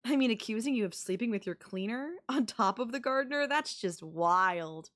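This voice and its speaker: A woman's voice: a little high, lively intonation, normal speed, a bit bright. A woman playing a manipulative young babysitter who is obsessively in love with her employer, speaking in a conversational, possessive and defensive way in an intimate audio roleplay. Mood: evasive, casual. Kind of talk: anime-style audio roleplay acting, intimate one-to-one.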